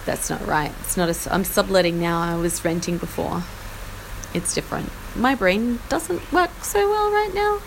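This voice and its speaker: An Australian woman in her forties, husky from a chest infection, rambling through a self-deprecating update from a small outdoor patio. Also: lowish voice, lively intonation, normal speed, slight background noise, low-quality recording. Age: 40s. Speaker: podcast host. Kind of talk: casual personal update. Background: faint rain.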